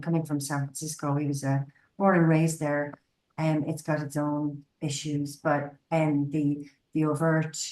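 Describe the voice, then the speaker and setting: A middle-aged woman from Ireland speaking on a video call in a webinar discussion, hesitant and reflective, with many pauses and ums. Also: sits low, darkish tone, low-quality recording. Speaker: panelist.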